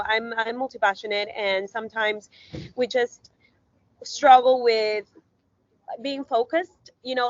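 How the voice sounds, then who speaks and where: A woman from Ecuador talks earnestly and at length, stringing thoughts together with frequent fillers. Her voice sits a little high. The sound is dry and dull, like a lo-fi video call. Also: a bit bright, no echo. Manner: rambling, earnest, many fillers. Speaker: guest, visibility strategist. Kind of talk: casual interview talk.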